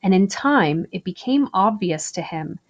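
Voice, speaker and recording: A woman reading a children's picture book aloud in an expressive storytelling style, with deliberate, emphatic phrasing. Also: medium pitch.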